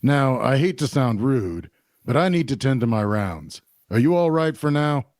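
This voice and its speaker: A man playing an obliging small-town barkeep in a fantasy audio drama, plain-spoken, polite and unhurried. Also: mid-range voice, expressive, a little mellow, dry recording, clean studio sound, lightly acted. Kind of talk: audio drama table-read dialogue.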